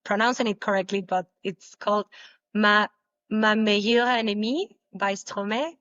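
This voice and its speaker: A young woman whose native language is Spanish, answering interview questions casually, with many halting restarts. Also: medium pitch, fairly bright tone, no room sound, highs cut off. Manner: halting, repeats words, laughs often. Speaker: guest, pole dancer.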